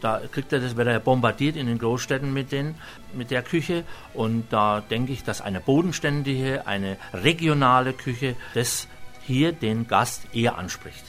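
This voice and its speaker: A man's voice: mid-range voice, unhurried, fairly bright tone, soft background music. A chef from Franconia with a Franconian accent explains his cooking in a homely, rambling way with many hesitations. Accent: Franconian German. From Franconia, Germany. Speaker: restaurant chef. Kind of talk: cooking demonstration chat.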